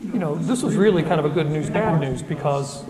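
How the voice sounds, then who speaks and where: A man's voice: on the high side, fairly quick, over a noisy crowd. A middle-aged man, a news anchor and instructor, moderating a student panel in an upbeat, encouraging way. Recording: echoey hall with an audience.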